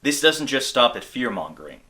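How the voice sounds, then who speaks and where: A man's voice: mid-range voice, very animated, moderate pace, pushed and bright. An American man hosting a video essay, reading scripted narration. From United States.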